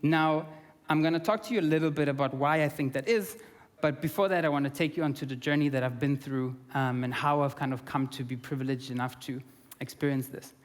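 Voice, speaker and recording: A man's voice: mid-range voice, a little fast. A young man from Austria giving an earnest conference talk, with many fillers and restarts. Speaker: conference speaker. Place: conference hall. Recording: long reverb of a conference hall.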